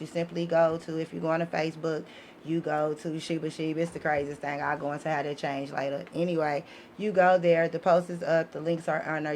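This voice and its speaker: Emphatic woman, blunt and slangy, noisy room. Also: low-pitched. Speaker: podcast guest, author of children's book series. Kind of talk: impassioned conversational talk.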